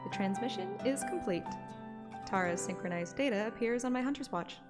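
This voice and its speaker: A woman's voice: medium pitch, expressive, brisk. A woman reading a scripted first-person story like an audiobook, with music behind her voice. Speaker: narrator and streamer.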